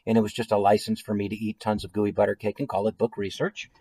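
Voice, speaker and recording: A man's voice: on the low side, flat delivery, quick pace, mellow, dry recording. An American man in his forties speaking in a playful, chatty way, like an author hosting a children's story time and talking straight to his listeners. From St. Louis area, United States. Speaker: children's author and illustrator reading his book. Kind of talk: children's story time read-aloud with trivia quiz. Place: small room at home, indoors.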